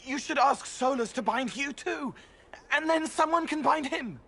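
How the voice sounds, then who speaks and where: An actor playing an anxious, innocent young spirit in a video game, speaking in halting, dreamy fragments. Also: male voice, very high voice, creaky, bright, forceful tone, heavily acted. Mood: earnest, resolved.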